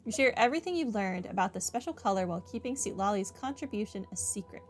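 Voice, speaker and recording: Female gamer, mid-pitched voice, chatty wry commentary over soft game music. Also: expressive, quick pace. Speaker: gaming video host. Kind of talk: casual playthrough commentary, reading prompts aloud. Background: video game music.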